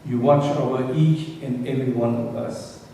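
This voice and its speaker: Mellow Cambodian-accented man preaching in echoing hall. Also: medium pitch, fairly even intonation, normal speed. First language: Khmer. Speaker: guest preacher. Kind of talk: prayer and sermon delivered in short phrases with pauses for an interpreter. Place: large echoey indoor room. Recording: echoey reverberant hall from far off, faint noise.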